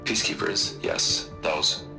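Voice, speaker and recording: An actor playing an anxious, evasive middle-aged corporate tycoon in a dramatic game cutscene, hesitant and defensive. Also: male voice, takes it slowly, over music, natural voice. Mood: sheepish.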